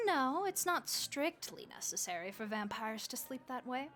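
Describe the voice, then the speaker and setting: Woman voicing a friendly high-voiced vampire innkeeper. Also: very animated, moderate pace. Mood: welcoming. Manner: chatty, gentle, reassuring. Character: friendly, motherly female vampire innkeeper, reassuring. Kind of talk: audio roleplay addressed to the listener.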